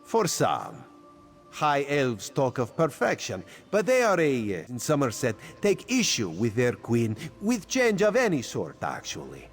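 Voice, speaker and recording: Playful, secretive cat-man spy for a game, lively sing-song delivery, speaks of himself in third person, faint music behind. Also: mid-range voice, a little slow. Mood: sly. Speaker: spymaster quest giver. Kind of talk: video game character dialogue.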